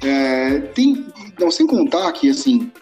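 A man's voice: mid-range voice, sing-song, measured pace, a little mellow, lo-fi sound, faint music behind. A man from São Paulo, chatting casually and rambling as a podcast co-host, swearing freely. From São Paulo, Brazil. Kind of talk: casual chat.

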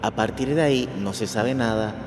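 A man's voice: on the low side, normal speed. A man reading scripted documentary narration as the narrator. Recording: long hall reverb, music underneath.

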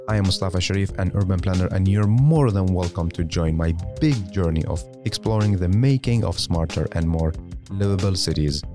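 A man hosting a podcast, reading an upbeat, scripted introduction. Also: low voice. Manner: upbeat, read announcement. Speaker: podcast host. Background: guitar music.

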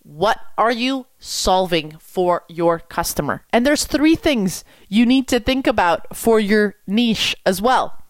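A young woman from Quebec, a French speaker, coaching about business with energetic rhetorical questions. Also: mid-range voice, slow pace, hardly any pauses, no room sound. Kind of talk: coaching talk to camera.

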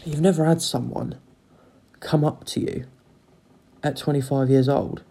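A man with a croaky voice, rambling through a sweary, casual solo chat as a podcast host. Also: on the high side, fairly even intonation, a little slow, dark tone. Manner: rambling, sweary, loses his train of thought.

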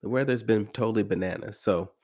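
An American man hosting a podcast, talking casually and repeating phrases for emphasis, with a congested, slightly hoarse voice from allergies. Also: on the low side, quick pace, a little mellow. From American Midwest. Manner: conversational, emphatic repetition. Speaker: podcast host. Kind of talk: casual motivational monologue.

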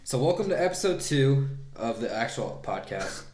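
A man with a Texas twang chatting on a homemade podcast in a living room. He is relaxed and loose, drops casual swears and laughs a lot. His voice sits in an ordinary middle range, and the room gives it a noticeable echo. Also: medium pitch, normal speed, slight background noise. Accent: Texan. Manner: laid-back, swears casually, laughs often. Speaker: podcast co-host.

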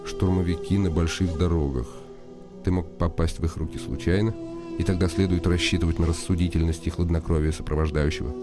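A man playing a brooding, wry nobleman in a radio drama, speaking in a deliberate, ironic, commanding way. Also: sits low, steady tone, natural voice. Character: nobleman hero, brooding and wry, impatient with his servant. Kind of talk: radio drama acting with inner monologue. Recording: music behind the voice.

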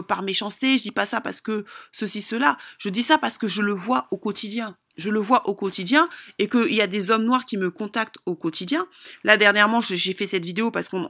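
A woman's voice: mid-range voice, gravelly, sharp tone. A woman speaking informally as a relationship advice vlogger, giving opinionated advice in an emphatic, insistent and repetitive way.

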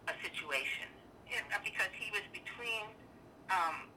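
A woman's voice: medium pitch, lively intonation, measured pace, sharp tone, a little hiss or hum. An older woman reminiscing informally as an interviewee on a distant phone-like line. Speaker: interviewee recalling a relative.

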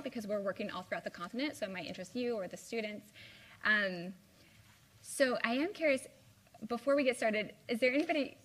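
Young midwest woman giving a casual talk to students, lots of likes and ums, middle pitch. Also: fairly bright tone. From Midwest US (Indiana). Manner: chatty, lots of "like" and "um". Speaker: guest speaker. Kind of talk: informal live talk with slides. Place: university lecture room.

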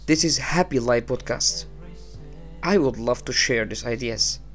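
Slow-talking Egyptian man with halting speech. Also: mid-range voice, fairly bright tone, soft background music. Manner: halting, repeats words, non-native phrasing. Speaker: podcast host. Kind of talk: casual motivational monologue. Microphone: small cheap mic.

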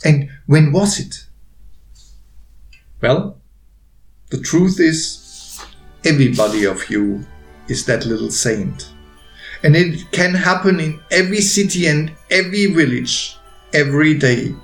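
A man reading his own story aloud as a narrator, with gentle, deliberate phrasing and pauses. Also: on the high side, lively intonation. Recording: roomy echo with faint music behind.

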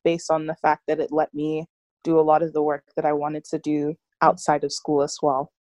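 A young woman from Nigeria speaks in a low, flat voice, thoughtful and loose, with a steady run of "like" and "you know." The sound is dry and close, with no room around it. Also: moderate pace, no room sound. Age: young adult. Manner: reflective, frequent fillers like "like" and "you know". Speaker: interview guest, student activist. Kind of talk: casual interview conversation.

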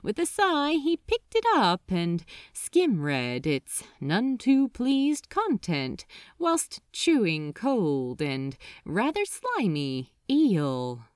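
Slow, very expressive female storyteller. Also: mid-range voice, no echo, clean studio sound. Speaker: audiobook narrator. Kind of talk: expressive scripted fiction narration.

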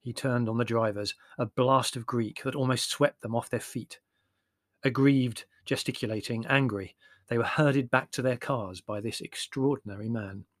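A man narrates literary prose in a storytelling style. His voice sits at a middle pitch. The recording is dry, with little trace of a room. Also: steady tone, moderate pace, dry recording. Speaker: audiobook narrator.

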